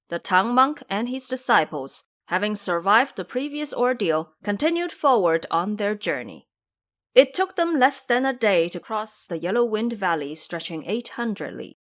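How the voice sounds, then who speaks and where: Female narrator for an epic audio drama: expressive, measured storytelling with very lively intonation. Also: mid-range voice.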